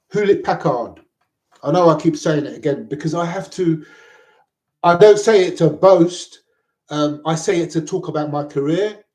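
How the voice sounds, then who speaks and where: A man's voice: mid-range voice, dark tone. A middle-aged man from Lebanon, talking in a rambling personal monologue. He is agitated, repeats himself and swears often. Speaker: vlogger. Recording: echoey room.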